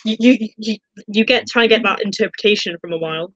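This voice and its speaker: A woman's voice: medium pitch, fast, no room sound, dull audio. A young woman chatting casually and giving opinions in a rambling, informal way, often repeating phrases. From northern Britain. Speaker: podcast co-host.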